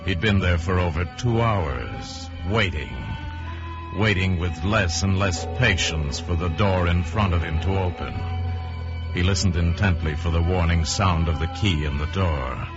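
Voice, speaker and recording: A man narrating a suspense tale in a deliberate, theatrical old-time radio drama style. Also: low voice. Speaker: storyteller narrator. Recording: muffled old radio recording with music. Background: dramatic music.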